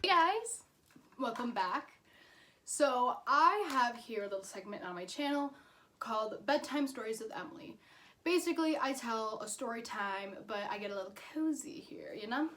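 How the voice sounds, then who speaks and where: A woman's voice: fairly high pitch, lively intonation, normal speed, fairly bright tone, a little hiss or hum. A woman in her twenties telling a personal story casually, in a chatty, informal way with dramatic emphasis. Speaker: YouTube storyteller.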